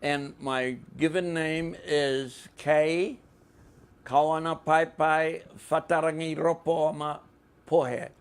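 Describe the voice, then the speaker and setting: A man with a New Zealand accent hosts an informal show. His voice is slightly high and quite creaky, and he speaks very slowly, in a halting, deliberate way. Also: little pitch movement. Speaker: podcast host.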